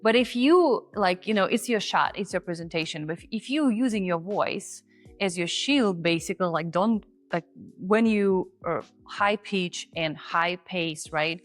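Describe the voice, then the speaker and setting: Woman coach, english not her first language, kinda low voice, chatty, faint music behind. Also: a little slow. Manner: chatty and animated, often acts out example voices. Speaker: confidence coach and host. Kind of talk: casual on-camera teaching.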